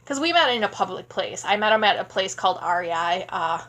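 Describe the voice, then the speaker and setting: A woman's voice: mid-range voice, expressive, a little fast, a bit bright. A sleepy woman in her thirties from Wisconsin, rambling casually through a vlog Q&A at home and talking into a tablet camera. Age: 30s. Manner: rambling, chatty, many fillers. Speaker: vlogger. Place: small home room indoors. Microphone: tablet camera at close range.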